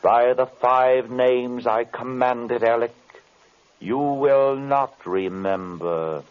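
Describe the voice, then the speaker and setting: An actor playing a solemn, commanding magician in old-time radio drama style, speaking in an incantatory way. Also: male voice, mid-range voice, slow pace, narrow, tinny audio, close to an everyday voice. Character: commanding male magician, mesmerist with paternal menace.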